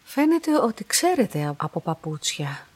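Husky, reserved young woman. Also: fairly low pitch, sing-song, no echo. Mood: reserved, puzzled. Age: young adult. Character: lonely young female lab clerk, reserved, watchful narrator. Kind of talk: radio drama narration in first person.

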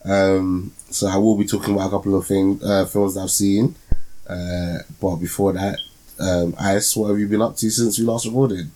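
Young host with a stuffy nose, loose and slangy, voice on the low side. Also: male voice, flat delivery, moderate pace, mellow, poor audio. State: nasal from the flu. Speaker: podcast host. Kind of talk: casual chat.

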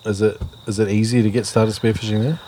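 A man's voice: fairly low pitch, little pitch movement, quick pace, mellow, slight background noise. A man hosting a casual, conversational interview, asking questions and chatting along. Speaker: interviewer, host.

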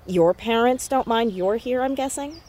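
A woman playing a determined, defiant teenage girl in a naturalistic audio drama, brisk and dryly sarcastic. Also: on the high side, darkish tone, slight background noise, natural voice.

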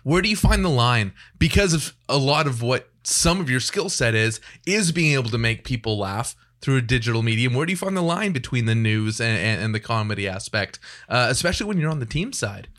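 Guy hosting a podcast, average pitch, chatty and jokey, pokes fun at himself. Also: animated, a little fast, hardly any pauses, fairly bright tone. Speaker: podcast host. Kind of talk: casual podcast interview chat. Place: podcast studio.

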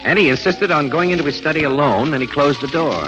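An actor playing a shrewd, easygoing crime photographer in a 1940s radio drama. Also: male voice, a little high, fast, close to an everyday voice. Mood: musing. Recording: dull old radio recording with music.

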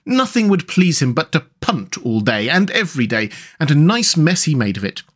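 Male narrator as sociable storybook river rat, raised pitch, animated, hearty, old-fashioned gentlemanly talk. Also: normal speed. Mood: exasperated, gossipy.

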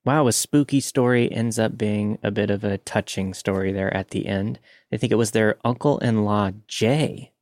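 A man hosting a podcast, telling anecdotes casually in an animated, conversational way. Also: on the low side, moderate pace, few pauses, no room sound, studio quality. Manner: animated storytelling with mimicked sounds. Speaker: podcast host.

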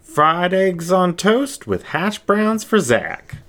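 Man as a high-pitched, casual wolf counter worker. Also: sing-song, takes it slowly, a bit bright, heavily acted. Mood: calling out.

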